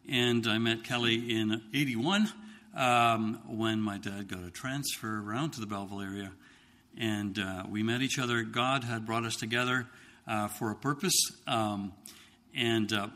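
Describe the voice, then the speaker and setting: Scottish guy in his 50s talking to a church, bright voice, long hall echo, lots of ums. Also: lowish voice. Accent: slight Scottish. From Scotland. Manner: halting, many ums and restarts. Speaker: missionary speaker. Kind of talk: church talk to congregation.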